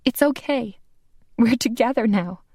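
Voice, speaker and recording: A woman's voice: on the high side, unhurried, a little mellow, slightly rough, no room sound, studio quality, voice pushed up. A woman voicing a brave, caring young heroine who speaks in an urgent, reassuring way.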